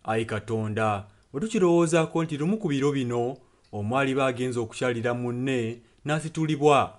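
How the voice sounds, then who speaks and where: A man serves as a sermon interpreter. His voice is of average pitch, and he delivers the prayer solemnly, one phrase at a time. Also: a little fast. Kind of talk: consecutive translation of a sermon and prayer.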